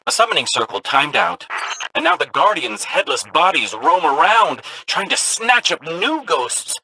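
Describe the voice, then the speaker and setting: Very animated nervous little robot. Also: male voice, on the high side, normal speed, creaky, slightly rough, dry recording, heavily acted, rough recording. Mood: uneasy. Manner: anxious, chatty. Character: small nervous, chatty robot companion, male, earnest. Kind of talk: video game voice acting.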